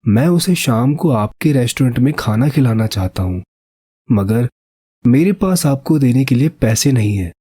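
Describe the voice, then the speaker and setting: Man playing an earnest husky village painter. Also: mid-range voice, expressive, normal speed, dark tone, no echo. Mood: humble request. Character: poor, earnest young village painter, devoted husband.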